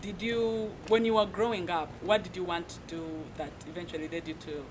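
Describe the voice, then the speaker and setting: A woman's voice: fairly low pitch, brisk. A woman from Uganda hosting an interview casually, chiming in and cheering her guest on. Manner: lively, cheers and chimes in, laughs. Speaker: host. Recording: street and traffic noise.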